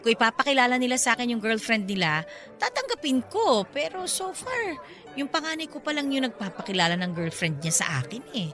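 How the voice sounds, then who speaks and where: An excitable middle-aged mother in a radio drama, gossiping with her best friend. Her voice is on the high side, with soft music underneath. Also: brisk. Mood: confiding. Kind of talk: conversational radio drama acting.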